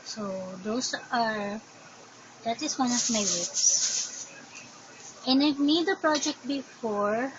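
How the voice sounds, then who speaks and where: Filipino woman, crafting vlogger, unhurried and hesitant, dull home recording with steady hiss. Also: a little high. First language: Tagalog. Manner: slow, hesitant, thinking aloud. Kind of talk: casual show-and-tell. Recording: dull lo-fi sound with background noise.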